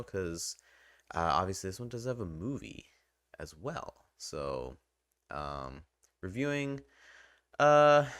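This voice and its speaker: A man's voice: sits low, gravelly, fairly bright tone, dry recording, studio quality. A young man hosting a casual, unscripted video review, talking in a rambling, hesitant way. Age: young adult. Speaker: video host.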